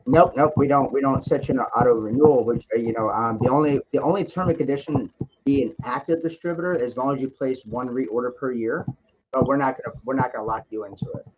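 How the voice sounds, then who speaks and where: Male call host, encouraging sales trainer, mid pitch, repeats himself, lo-fi conference line sound. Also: quick pace, noisy background. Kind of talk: sales call question-and-answer. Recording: dull lo-fi conference call audio.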